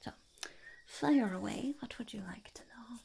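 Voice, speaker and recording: A woman voicing a scheming, self-important goblin in a whispery ASMR roleplay, halting and mock-formal as the goblin interviews would-be henchmen. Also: a little high, dark tone, full character voice. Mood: evasive, scheming. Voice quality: whispery, breathy, creaky.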